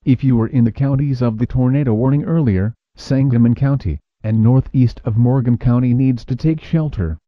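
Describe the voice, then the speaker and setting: A man's voice: mid-range voice, normal speed, hardly any pauses, dry recording. Guy doing weather radio alert bulletin, dull lo-fi audio, no room sound at all. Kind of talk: scripted emergency weather bulletin.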